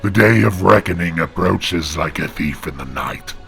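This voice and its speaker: A man voicing a wrathful, commanding angel in an audio drama, with a metallic, inhuman, gravelly voice and solemn, archaic prophetic delivery. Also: deep voice, expressive, normal speed, fairly bright tone, soft background music, heavily acted. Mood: grave, ominous. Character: wrathful, commanding male angel possessing a man's body. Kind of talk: creepypasta-style audio drama.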